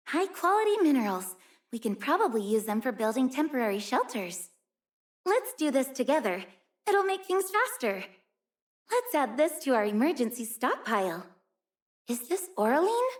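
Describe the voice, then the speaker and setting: A woman voicing an earnest, gentle young female snow-rescue worker in a video game, speaking in a caring, polite manner. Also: high-pitched. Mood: alert, helpful. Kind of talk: video game character voice lines.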